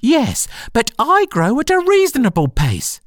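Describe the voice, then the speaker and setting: Woman doing a squeaky, peevish little dormouse. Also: huge pitch swings, measured pace, no room sound, studio quality, full character voice. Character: small, sulky, sleepy male dormouse.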